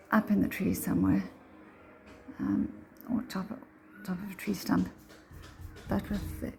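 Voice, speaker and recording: A woman with a slightly croaky voice giving a casual step-by-step painting tutorial, thinking aloud in a halting way. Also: medium pitch, mellow. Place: indoors, home painting room.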